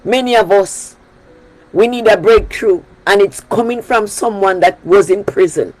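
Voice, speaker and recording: A woman's voice: medium pitch, a little slow, darkish tone, low-quality recording. A woman from Jamaica with a Jamaican accent, preaching a sermon on her prayer broadcast in an emphatic style that repeats key phrases. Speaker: pastor leading a prayer broadcast.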